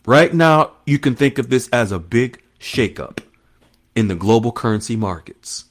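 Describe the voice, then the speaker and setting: A man's voice: medium pitch, animated, moderate pace. A man hosting an online finance news monologue, speaking in short emphatic phrases and repeating key terms as he explains them to followers. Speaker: host of an online currency news channel.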